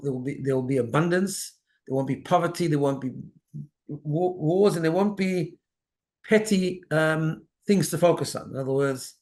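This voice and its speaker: A man speaking as a rabbi and co-host, teaching religion conversationally and explaining step by step. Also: on the high side.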